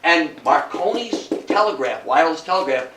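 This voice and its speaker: A man's voice: a little high, moderate pace. Older man lecturing in an echoey room. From Athens, New York, United States. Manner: animated, emphatic, repeats phrases, rhetorical questions. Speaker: local history lecturer. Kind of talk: informal public lecture with storytelling. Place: indoor talk before a small audience. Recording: echoey room, distant mic, faint noise.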